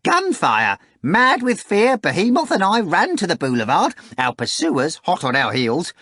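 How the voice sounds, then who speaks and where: A man's voice: sits high, wildly swooping pitch, unhurried, sharp tone, no echo, studio quality, voice pushed up, full character voice. A man doing a fawning, dramatic sidekick. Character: sly, dramatic male sidekick, flustered and servile.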